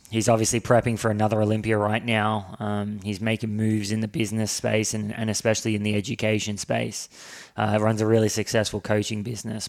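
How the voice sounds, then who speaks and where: A man hosting a podcast, introducing the episode with a sore throat and a hoarse voice, rambling with many fillers and restarts. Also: lowish voice. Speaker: podcast host.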